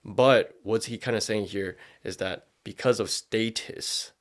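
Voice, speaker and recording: A man's voice: lowish voice, fairly even intonation, a little slow. A man hosting a podcast, giving casual, opinionated commentary in slangy language full of profanity. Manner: slangy, profanity-laden speech. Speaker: podcast host.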